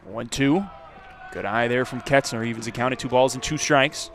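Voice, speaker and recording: A man's voice: medium pitch, normal speed. A man doing live play-by-play baseball commentary as a radio announcer. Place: outdoor ballpark. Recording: steady background noise at outdoor ballpark.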